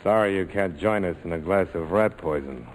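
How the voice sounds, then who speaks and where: An actor playing a tough, sardonic major in 1940s radio drama style, wisecracking and defiant. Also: male voice, deep voice, moderate pace, noisy background, natural voice. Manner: wisecracking, hard-boiled.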